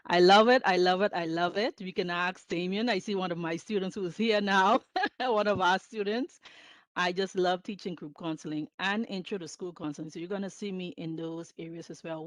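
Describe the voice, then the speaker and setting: A woman's voice: mid-range voice, no room sound. A woman from the Bahamas, a counseling professor, introducing herself with bubbly enthusiasm and frequent laughter on a video call. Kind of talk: casual self-introduction.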